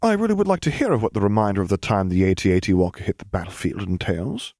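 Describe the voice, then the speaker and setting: A man voicing a cheeky, excitable teenage cadet in an audiobook story, blunt and mischievous. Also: on the low side, quick pace, dry recording, studio quality. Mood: bright, cheeky. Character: cheeky excitable young military cadet, the general's son.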